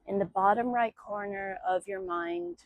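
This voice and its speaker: Female yoga instructor for guided breathwork: mid-pitched, unhurried and soothing, measured phrases with generous pauses, dry recording. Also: dry recording. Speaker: yoga teacher.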